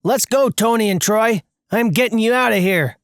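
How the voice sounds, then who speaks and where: A narrator voicing a brusque, bossy accomplice in an animated story, commanding and dismissive. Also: mid-range voice, normal speed, dry recording, clean studio sound, pitched above the performer's own voice, close to an everyday voice. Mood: urgent.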